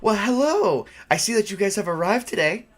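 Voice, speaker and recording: Man playing a chirpy, high-pitched woman camp counselor. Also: lively intonation, fairly quick. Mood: cheerful. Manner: chirpy, hospitable, over-polite. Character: perky, eager-to-please woman camp counselor.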